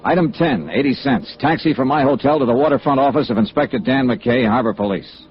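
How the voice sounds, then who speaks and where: An actor playing a tough, world-weary insurance investigator in 1950s radio noir style, confident and clipped in speech and narration. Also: male voice, mid-range voice, fairly quick, soft background music, close to an everyday voice. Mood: brisk, businesslike. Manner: hard-boiled, confident, clipped. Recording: dull, noisy old radio recording.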